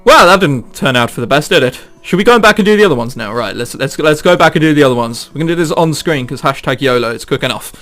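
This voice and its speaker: A man's voice: a little high, expressive, fast, few pauses, a bit bright, soft background music. A man who is a let's player reading a visual novel aloud dramatically, with exclamatory, sweary joking commentary.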